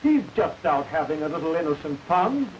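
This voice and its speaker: An easygoing middle-aged father from a 1930s melodrama. His voice is high and lilting, soothing in manner, under clear hiss on an old film track. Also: big pitch swings, a little fast, a little mellow. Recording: noisy, dull old film soundtrack.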